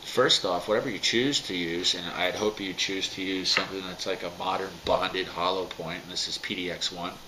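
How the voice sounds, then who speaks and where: A man's voice: low-pitched, fairly even intonation, normal speed, few pauses, noisy background. A man in his thirties, getting over a cold, giving a rambling, filler-heavy talk to his camera at home. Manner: rambling, many fillers and restarts. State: recovering from a cold. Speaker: firearms vlogger. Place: dim home room, indoors.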